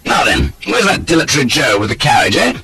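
Audiobook role: flippant cad, acted by a man, leering and mocking, bright forceful delivery. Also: mid-range voice, wildly swooping pitch, fairly quick, creaky, husky, pitched above the performer's own voice, exaggerated voice. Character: leering roguish scoundrel, cocky and flippant.

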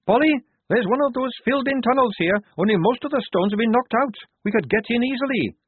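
A man voicing a bold, impatient young woman soldier in a storybook, with a brisk, blunt manner. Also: expressive, husky, no echo, heavily acted. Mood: defiant, eager. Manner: brisk, blunt, high-pitched. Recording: narrow tinny phone-like audio.

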